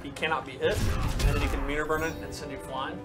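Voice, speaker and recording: Higher-voiced man explaining game moves over loud music. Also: level tone, quick pace. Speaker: game developer explaining a fighting game. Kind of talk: casual gameplay explanation.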